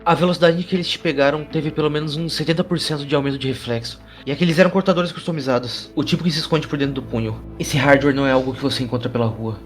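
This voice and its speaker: A man's voice: on the high side, steady tone, very fast, hardly any pauses, faint music behind, lightly acted. A man with a Brazilian accent playing a streetwise, cynical media reporter in a cyberpunk audio drama, blunt and slangy.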